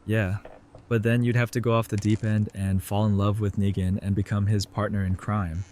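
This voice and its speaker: A man's voice: fairly low pitch, little pitch movement, moderate pace, darkish tone, a little hiss or hum, lightly acted. A man playing an easygoing, flirty doctor who banters casually in an audio drama. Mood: teasing, easygoing. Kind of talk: fan audio drama dialogue.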